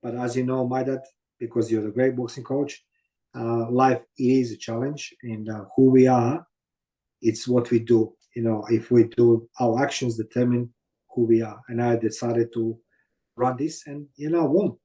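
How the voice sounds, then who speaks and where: A man in his fifties with a Croatian accent, speaking in a mellow, darker tone. He talks haltingly in non-native English and leans on "you know" over and over as an interview guest. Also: mid-range voice, steady tone, poor audio. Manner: halting, many fillers and "you know what I mean". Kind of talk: casual interview storytelling.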